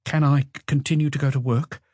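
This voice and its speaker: Man voicing an anxious young woman, lifted pitch. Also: steady tone, fast, dry recording, clean studio sound, lightly acted. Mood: worried. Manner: hesitant, evasive, soft-spoken. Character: anxious, guarded young woman questioned by police.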